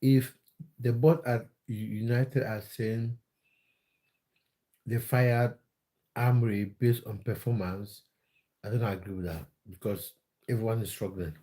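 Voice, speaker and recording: Casting note: a man from Cameroon, livestream host, relaxed and warm in tone, reads out chat comments and answers them with lots of hesitation. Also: on the low side, measured pace, pauses often. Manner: reads out viewer comments and answers them, with many fillers and repeats. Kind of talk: casual livestream chat about football.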